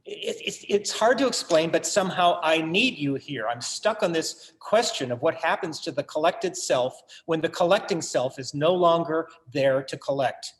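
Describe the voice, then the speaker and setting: An actor playing an anxious, self-absorbed collector with earnest, literary phrasing in a staged play reading. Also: male voice, on the high side, animated, normal speed, hardly any pauses, roomy sound, natural voice. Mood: pleading. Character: anxious, self-absorbed, wordy male collector brooding on his legacy. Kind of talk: theatrical staged reading, monologue and verse.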